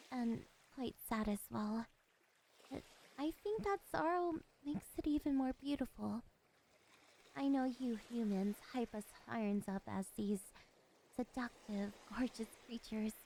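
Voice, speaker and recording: A woman playing a lonely, possessive, predatory siren in an ASMR roleplay, speaking softly and gently in a weak voice with quiet menace. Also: high voice, full character voice. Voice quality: soft, weak voice.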